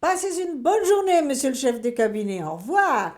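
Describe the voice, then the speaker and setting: A woman playing a shrill, fussy widowed marquise. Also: sing-song, normal speed, a little hiss or hum. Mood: brisk. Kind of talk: burlesque comedy film acting.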